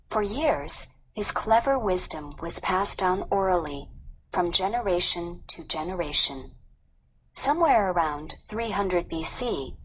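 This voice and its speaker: Slow, measured woman narrating, faint background noise. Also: mid-range voice, poor audio. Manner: measured, careful reading. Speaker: audiobook narrator. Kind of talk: scripted storybook narration.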